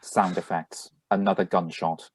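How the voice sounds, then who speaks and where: A man with a husky voice reading out script cues and stage directions. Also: deep voice, level tone, measured pace. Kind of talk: flat scripted cue reading.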